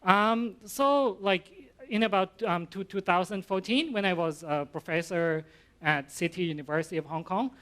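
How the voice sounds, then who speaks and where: A middle-aged Thai man, a university professor, giving an informal conference talk in English with a Thai accent, halting and full of fillers and restarts. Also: high-pitched, fairly bright tone.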